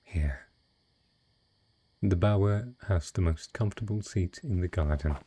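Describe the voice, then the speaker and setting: A man playing a wealthy, cunning dragon in humanoid disguise, speaking in a refined, eloquent and husky way in a romantic fantasy audio roleplay. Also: sits low, mellow, a little hiss or hum. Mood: gentle concern. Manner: refined, eloquent, unhurried storytelling.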